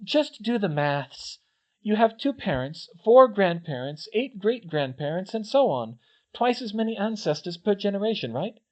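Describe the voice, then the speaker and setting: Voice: medium pitch, very animated, normal speed, darkish tone, pitched above the performer's own voice, close to an everyday voice. A reader voicing an earnest, insistent young girl student who speaks emphatically. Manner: emphatic, didactic, stammers when upset.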